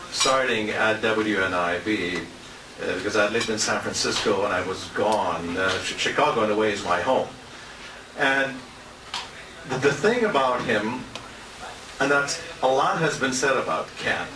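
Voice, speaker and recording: An older man giving an informal, rambling tribute speech in a halting, anecdotal way. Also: mid-range voice. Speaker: retired radio announcer giving a tribute speech. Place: restaurant banquet room. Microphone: handheld compact camera at a distance. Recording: roomy, noisy handheld camera from far off.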